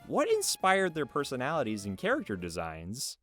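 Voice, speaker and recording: A man hosts an interview in a casual, conversational way. His mid-pitched voice swings widely up and down as he talks. Video game music plays softly behind him. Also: wildly swooping pitch, normal speed. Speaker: interviewer, host. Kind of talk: casual interview questions and chat.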